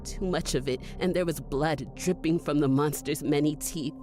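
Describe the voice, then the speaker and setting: An actress plays a young woman battling monsters in a horror audio drama. Her voice sits slightly low as she tells it first-hand, sweary, shaken and conversational, with clearly audible music behind her. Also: normal speed, natural voice. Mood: tense narration. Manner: sweary, breathless, conversational first-person storytelling. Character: gutsy, foul-mouthed young woman fighting monsters, narrating in shock.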